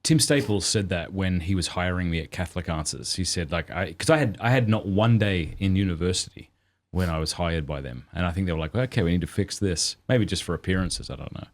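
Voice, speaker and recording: A man's voice: low-pitched, brisk. A man with an Australian accent hosting a podcast, talking candidly and self-deprecatingly in casual conversation. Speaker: podcast host.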